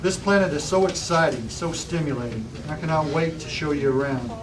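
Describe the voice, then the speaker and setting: A man's voice: a little high, lively intonation, brisk, close to an everyday voice. A man reading the part of an effusive, vague scientist professor at a table read. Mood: delighted. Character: distracted, exuberant male scientist professor, oddly vague. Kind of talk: script table read of a sci-fi serial. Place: busy restaurant table, far camera. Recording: distant mic, noisy restaurant room.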